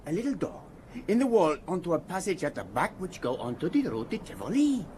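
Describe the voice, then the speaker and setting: An actor playing an evasive Italian manservant who insists in broken English, in a radio drama. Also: male voice, fairly high pitch, sing-song, fairly quick, a little mellow, a little hiss or hum. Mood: insistent. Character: evasive Italian manservant, protective of his mistress.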